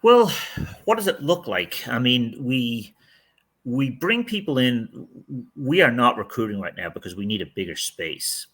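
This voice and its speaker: A man's voice: medium pitch, big pitch swings, measured pace, a bit bright, poor audio. A middle-aged man, a real estate team leader, giving candid, rambling interview answers with frequent restarts. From outside the United States.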